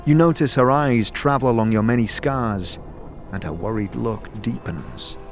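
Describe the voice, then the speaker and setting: A man's voice: mid-range voice, moderate pace, soft background music. A man narrating a scripted fantasy audio drama in the second person, as a storyteller.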